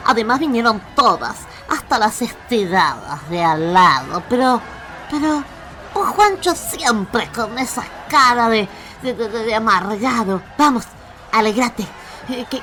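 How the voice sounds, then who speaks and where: A woman's voice: fairly high pitch, lively intonation, measured pace, bright, forceful tone, faint music behind. A woman with an Argentine accent playing a tipsy, cheerful, carefree female slug in a radio fable, teasing and bubbly. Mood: tipsy delight. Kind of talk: radio fable acting with music bed.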